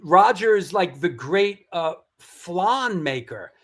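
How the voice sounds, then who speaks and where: A man's voice: sits high, lively intonation, takes it slowly. A middle-aged man hosting a casual interview, reacting often with short exclamations. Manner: warmly reactive, lots of wows and short interjections. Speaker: host.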